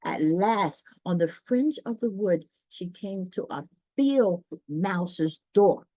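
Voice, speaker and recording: Slow-paced woman storyteller with dramatic pauses. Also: medium pitch, lively intonation, dark tone, no room sound. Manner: dramatic pauses, gasps, talks directly to listeners. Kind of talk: children's story read-aloud with chatty asides. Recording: dull, dry lo-fi sound.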